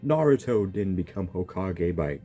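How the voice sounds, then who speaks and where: Young male gaming YouTuber, deep voice, joky and excitable, lively swings. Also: normal speed, faint music behind. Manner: joking, excitable, talks back to the characters. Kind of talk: casual game reaction commentary.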